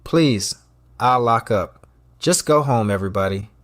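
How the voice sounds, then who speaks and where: A man's voice: medium pitch, lively intonation, measured pace, fairly bright tone, no room sound. A man voicing a proud, guarded, stressed restaurant owner in an audio story. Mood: tense, dismissive.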